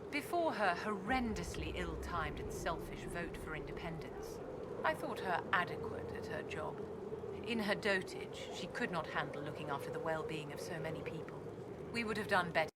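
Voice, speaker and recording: Over a loud bed of ambient noise, an actress voices a haughty court mage with a lowish woman's voice. She is refined, shrewd and condescending, lecturing in poised, measured phrases as in a fantasy video game. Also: animated, close to an everyday voice. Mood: scornful, firm. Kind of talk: scripted video game dialogue.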